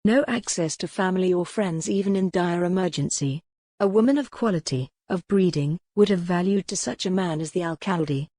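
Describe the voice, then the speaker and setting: A woman's voice: on the low side, moderate pace, dark tone, no echo, clean studio sound. A woman reading a scripted work of fiction as an audiobook narrator.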